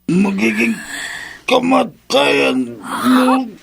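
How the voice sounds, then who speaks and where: An actor playing a menacing, dying vampire in a horror radio drama, halting and vengeful in his threats. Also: sits high, big pitch swings, gravelly, very noisy, heavily acted. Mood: dying menace.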